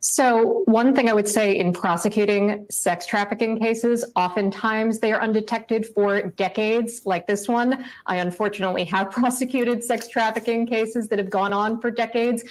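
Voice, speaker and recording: A woman and former prosecutor explaining a criminal case in a measured, authoritative way on a TV news panel. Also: mid-range voice, low-quality recording. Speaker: former sex crimes prosecutor, legal analyst.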